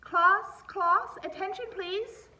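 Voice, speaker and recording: A woman's voice: very high-pitched, slow pace, sharp tone, roomy sound, recorded from a distance, a little hiss or hum, pitched above the performer's own voice, exaggerated voice. A woman voicing an effusive, bustling female school principal from a children's storybook, both gushing and in charge. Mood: loud, commanding. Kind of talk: children's storybook read-aloud.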